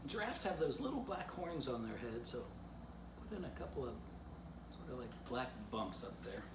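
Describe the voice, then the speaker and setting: A man's voice: mid-range voice, very animated, normal speed. Male children's presenter, informal, simple delivery, room echo, noticeable background noise. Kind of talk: children's story reading and casual drawing lesson.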